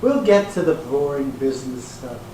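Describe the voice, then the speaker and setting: A man acting in a readers theater piece as a slick, smooth-talking promoter with a grandiose, placating salesman's patter. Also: medium pitch, lively intonation, moderate pace, warm tone, natural voice. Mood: grand salesman's pitch. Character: slick, smooth-talking male promoter pitching a glamour calendar. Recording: echoey hall from far off, hissy room noise.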